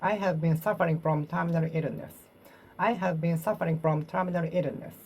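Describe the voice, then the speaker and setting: A woman's voice: fairly low pitch, fairly even intonation, darkish tone, slight background noise, rough recording. A woman with a Japanese accent practicing English alone, repeating phrases over and over and correcting herself as she goes. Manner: repeats and reworks phrases, pausing and self-correcting. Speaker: English learner. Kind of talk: solo speaking practice, talking to herself.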